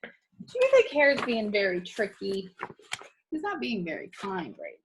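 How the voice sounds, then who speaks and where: A woman reading a picture book aloud to children in a teacherly, expressive way, pausing to ask them questions. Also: medium pitch, noisy background. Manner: animated, teacherly. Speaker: read-aloud storyteller for children.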